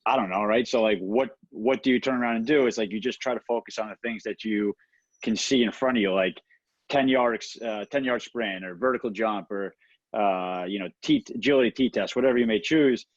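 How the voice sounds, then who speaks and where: Muffled call audio, low-voiced halting man. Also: no echo. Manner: halting, peppered with "like" and "you know". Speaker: participant in a coaches' conference call. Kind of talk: casual chat. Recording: dull, dry low-fidelity call audio.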